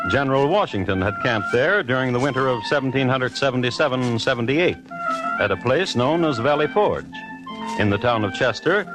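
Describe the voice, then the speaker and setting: Wry American male narrator, lively intonation. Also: medium pitch, rough recording. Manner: wry, droll storytelling. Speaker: host and narrator. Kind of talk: scripted 1950s radio narration.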